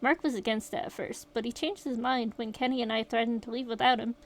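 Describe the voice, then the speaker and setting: A woman voicing a shaken young survivor, reading the account aloud in a steady, rueful way. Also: a little high, quick pace, a little hiss or hum, close to an everyday voice. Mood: grim retelling. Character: shaken young survivor recounting a horror night.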